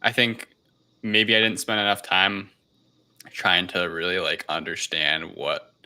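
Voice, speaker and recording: A man's voice: sits low, unhurried, bright, forceful tone, clear, resonant tone. A man on a casual film discussion panel, speaking in a tentative, self-questioning way. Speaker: panelist on a movie review show.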